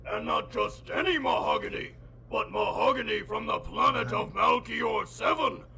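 A man's voice: high-pitched, expressive, normal speed, creaky, pushed and bright, full character voice. A man voicing a grandiose, boastful giant judge of the afterlife in a pompous, theatrical cartoon parody.

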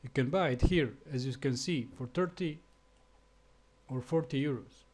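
A man from Slovenia with a Slovenian accent, speaking English as a second language in a halting screencast tutorial with fillers and restarts. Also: mid-range voice, darkish tone, a little hiss or hum. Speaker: web developer.

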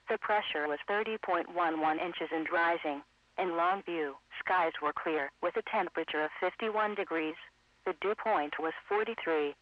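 A synthetic man's voice reading a scripted weather bulletin in a measured, impersonal way as an automated radio announcer. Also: on the high side, no echo. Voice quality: synthetic text-to-speech voice. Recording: muffled radio broadcast.

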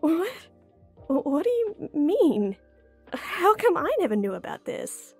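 A woman's voice: fairly high pitch, creaky, a little mellow, heavily acted. A young woman playing a playful, flustered friend with a secret crush in an intimate audio roleplay, teasing, giggly and hesitant. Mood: surprised, flustered. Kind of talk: intimate one-sided ASMR-style roleplay acting.

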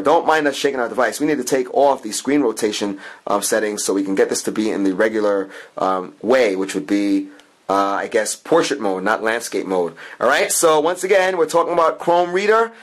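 A man hosting an informal, improvised product review, talking through a hands-on demo and often repeating his points. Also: a little high. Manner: talks in plural "we", informal, improvised and repetitive. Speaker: app reviewer, channel host.

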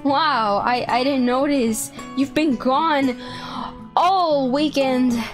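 High-voiced young woman, exclamatory. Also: slow, soft background music. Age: young adult. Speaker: YouTube gaming host. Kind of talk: roleplay narration and chat.

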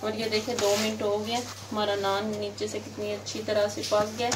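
A woman of medium pitch with a Pakistani Urdu accent talks viewers through a recipe in her home kitchen, calm and patient as she points out each step. Dishes and pans clatter clearly behind her voice. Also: moderate pace, background noise. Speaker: home cooking channel host. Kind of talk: step-by-step cooking instructions.

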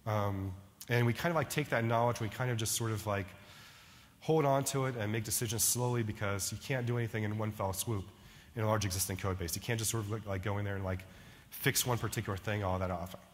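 A man's voice: lowish voice, fairly even intonation, fast, big-room sound, a little hiss or hum. A middle-aged man giving a conversational conference keynote in a large ballroom, often checking in with "right?". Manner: conversational, frequent "right?" and "like" fillers. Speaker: keynote speaker.

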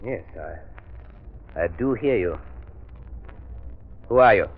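A jittery, arrogant villain from an old radio drama. He mutters slowly, then swoops into startled cries, on a tinny, narrow vintage recording. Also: fairly low pitch, expressive, exaggerated voice. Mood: startled, defiant. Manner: muttering to himself, startled outbursts. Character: jittery, arrogant male villain, weary and suspicious. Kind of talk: 1930s radio acting. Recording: muffled, narrow, noisy 1930s radio recording.

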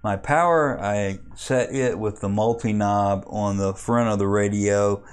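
A man giving an unscripted software walkthrough as a hobbyist, explaining step by step in a plainspoken, halting way. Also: lowish voice, fairly even intonation, smooth. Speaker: amateur radio hobbyist giving a software tutorial.

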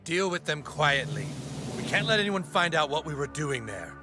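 Stern cartoon agent, music behind. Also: male voice, on the high side, very animated, normal speed. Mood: menacing. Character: stern shady male government agent in a cartoon. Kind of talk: animated comedy game acting.

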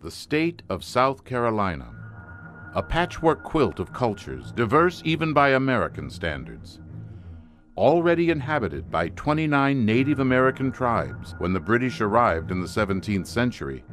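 An actor playing the ominous host of a suspense anthology, narrating in a measured, formal, portentous manner. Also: male voice, mid-range voice, sing-song, fairly bright tone, faint music behind. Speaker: announcer and narrator.

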